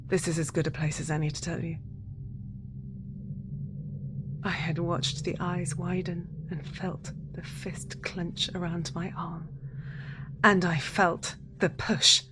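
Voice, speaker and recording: Unhinged, haunted wife played by a woman for audio drama. Lowish voice, deliberate, intense confessional delivery, audible noise behind. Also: level tone, lightly acted. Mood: bitter. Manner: intense, deliberate, repeating phrases, slipping into whispers.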